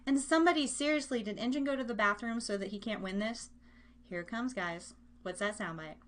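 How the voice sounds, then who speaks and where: A woman's voice: on the high side, fairly quick, fairly bright tone. A woman hosting a live online radio show, chatting informally and slangily and speaking straight to her listeners. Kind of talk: casual, interactive live-stream chat and game hosting.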